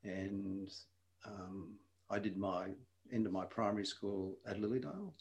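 Gravelly older man, unhurried and thoughtful. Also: low voice, highs cut off. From Wales. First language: Welsh. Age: 60s. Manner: unhurried, thoughtful, with frequent ums. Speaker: guest. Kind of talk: casual interview chat. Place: shared room with the host.

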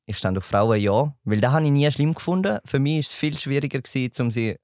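A young man from St. Gallen with a Swiss German accent, a podcast guest chatting in a relaxed, joking way. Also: medium pitch, quick pace, dry recording, clean studio sound. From St. Gallen, Switzerland. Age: young adult. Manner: relaxed, joking, laughs while talking. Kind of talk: casual podcast chat.

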